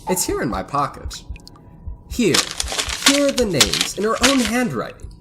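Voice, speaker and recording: An actor playing a bitter, vengeful financier in a radio thriller, cold and deliberate with surges of excitement. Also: male voice, high voice, loud music behind the voice, full character voice. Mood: bitter, brooding. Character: bitter vengeful self-made financier holding his captives. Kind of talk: old-time radio thriller acting.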